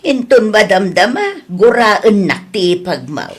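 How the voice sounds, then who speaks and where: A woman with an Ilocano accent acting a frank, scolding woman in a family audio drama, in a husky voice. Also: medium pitch, sing-song, measured pace. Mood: exasperated.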